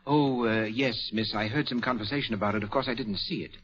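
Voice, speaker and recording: A man's voice: lowish voice, a little fast, a bit bright, close to an everyday voice. An actor playing a bossy, scheming male crook in a 1940s radio mystery. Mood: guarded, polite. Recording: dull, muffled 1940s radio recording.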